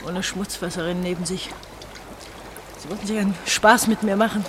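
A woman's voice: mid-range voice, fairly even intonation, normal speed, natural voice. Casting: a woman to play an exhausted, emotional girl on the run in a German radio play, faint noisy water behind. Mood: bitter, accusing. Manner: emotional, reproachful, dramatic. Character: desperate, bitter, exhausted young woman fleeing underground. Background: running, dripping water.

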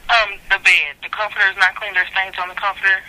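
A woman's voice: medium pitch, little pitch movement, fast talker. A woman, a hotel guest, complaining on the phone, polite but growing exasperated. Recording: noisy phone line.

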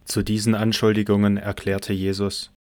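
A man's voice: lowish voice, completely flat delivery, normal speed, darkish tone, no echo. A man narrating a Bible story as the scripted narrator of an audio drama.

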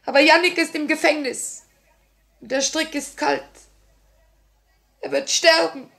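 An actress playing a grieving but resolute young revolutionary in a 1950s German radio play, solemn and theatrical. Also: female voice, high-pitched, fairly even intonation, unhurried, creaky, bright, forceful tone, heavily acted. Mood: anguished. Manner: theatrical, solemn, impassioned delivery. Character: grieving, resolute young woman revolutionary, torn between love and duty.

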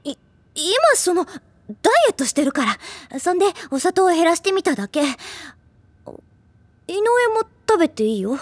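A woman's voice: extremely high pitch, animated, moderate pace, pauses often, no room sound. A woman playing a shy, prickly teenage schoolgirl who sounds defensive and flustered in an audio drama. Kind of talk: anime-style audio drama acting.

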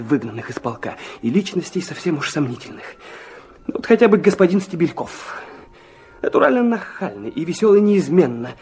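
A man's voice: a little high, darkish tone, lightly acted. An actor playing an ardent, touchy, impulsive young man who narrates his own story fervently in a radio drama. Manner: fervent, dramatic, emphatic repetitions.

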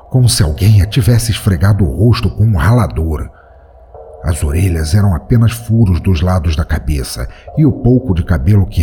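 A man narrating a first-person horror story in a measured, grave way. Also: low voice, dark tone, slight background noise.

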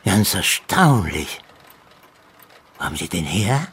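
Friendly old retired prof in a radio drama, slow n halting, really husky voice. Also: male voice, deep voice, sing-song, a bit bright, exaggerated voice. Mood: marvelling. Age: elderly. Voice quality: husky, breathy. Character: frail, friendly, scatterbrained old retired professor.

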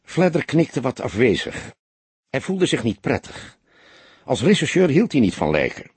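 A man the reading a crime story as an audiobook, in a measured, expressive storytelling manner. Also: medium pitch, slightly rough. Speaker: audiobook narrator. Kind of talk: scripted literary narration of a crime story.

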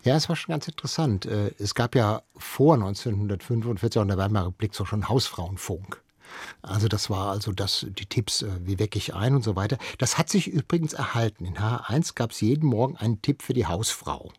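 An older man with a slightly husky voice talks as a studio guest. He explains and reminisces conversationally, repeating words for emphasis. The sound is close and dry. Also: lowish voice, animated, a little fast, dry recording. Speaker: studio guest, radio veteran and archive expert. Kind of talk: conversational reminiscing in a radio interview.

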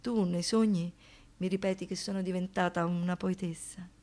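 An actress playing an anguished survivor of violence in a poetic radio monologue, speaking in halting phrases that shift between hush and outburst. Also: female voice, on the low side, fairly even intonation, close to an everyday voice. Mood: quiet, mournful. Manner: halting short phrases, dropping to whispers, rising to outbursts.

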